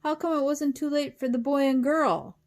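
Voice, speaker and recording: A sly storybook wolf , acted out by a woman reading aloud, calling out insistently. The voice is raspy and pushed well above her narrating pitch. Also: steady tone, moderate pace, a little mellow. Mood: wheedling, insistent. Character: sly talking wolf pestering at the door.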